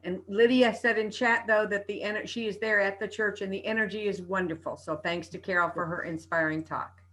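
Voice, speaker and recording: A woman's voice: mid-range voice, steady tone, brisk, fairly bright tone. A woman acting as facilitator, reading chat and checking for raised hands.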